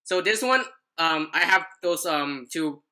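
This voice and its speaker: A woman's voice: deep voice, animated, a little slow, sharp tone, rough recording. A young adult woman telling a personal story in casual podcast chat, rambling and often stumbling over her words.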